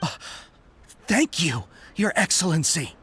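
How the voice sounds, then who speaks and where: A woman's voice: fairly low pitch, very animated, measured pace, fairly bright tone, slight background noise. A woman playing a zealous young soldier in a video game, loyal, earnest and deferential to a commander. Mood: bewildered. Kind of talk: scripted video game voice acting.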